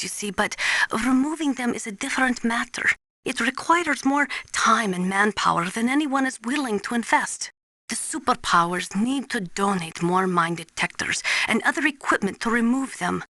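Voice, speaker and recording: A woman playing a serious female weapons expert in a video game, giving measured, technical briefings to an agent. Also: mid-range voice, pushed and bright, dry recording. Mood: earnest, grave. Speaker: mission support adviser.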